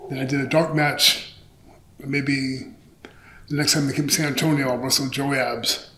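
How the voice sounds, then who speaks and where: A man's voice: medium pitch, little pitch movement, normal speed, sharp tone, slight background noise. A middle-aged man telling stories casually in an interview, candid and profane, acting out bits of dialogue. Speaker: interview guest, pro wrestler. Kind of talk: animated casual storytelling. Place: small indoor interview set on a couch. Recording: roomy echo.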